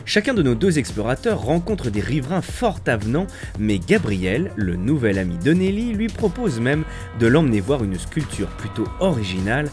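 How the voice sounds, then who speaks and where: A man's voice: mid-range voice, sing-song, moderate pace. A man narrating a show from a script. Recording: clear music under the voice.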